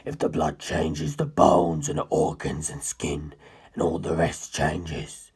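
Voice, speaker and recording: Super deep husky ancient monster man, menacing and condescending, audiobook villain. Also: little pitch movement, takes it slowly, darkish tone, exaggerated voice. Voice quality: very deep, gravelly, husky, breathy. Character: ancient, menacing, superior male non-human predator musing on humans. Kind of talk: dramatic audiobook character reading.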